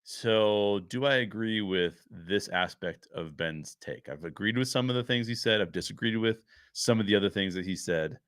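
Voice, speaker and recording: A male host talks through a film review off the cuff, with an emphatic, explanatory way of making points. His voice sits fairly low yet has a bright, forward edge. The sound is dry, with hardly any room to it. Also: moderate pace, hardly any pauses, smooth, no room sound. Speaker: show host and commentator. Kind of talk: unscripted film review commentary.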